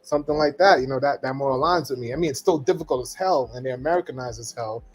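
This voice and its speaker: A man's voice: mid-range voice, fast. A man from Trinidad, co-hosting a casual podcast chat and talking bluntly with jokes and repeated words. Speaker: podcast co-host.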